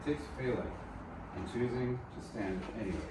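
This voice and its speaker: A man reading the part of a broken, grieving musician in a film table read, softly and without hurry. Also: medium pitch, a little fast, lightly acted. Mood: weary, reflective. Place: echoey room at a group table read. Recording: roomy echo, distant mic, noisy room.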